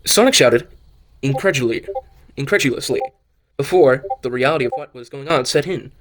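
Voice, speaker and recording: A man's voice: mid-range voice, animated, moderate pace, fairly bright tone. A man narrating a fan audio drama as an amateur, often stumbling over and repeating words as he reads. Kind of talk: amateur story reading.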